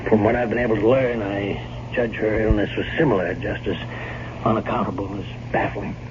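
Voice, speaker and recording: Actor as grave doctor on noisy old radio. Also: male voice, fairly low pitch, fairly even intonation, close to an everyday voice. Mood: insistent. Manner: emphatic, deliberate, ominous. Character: grave male family doctor, blunt, foreboding. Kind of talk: old-time radio drama acting. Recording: dull, noisy vintage radio recording.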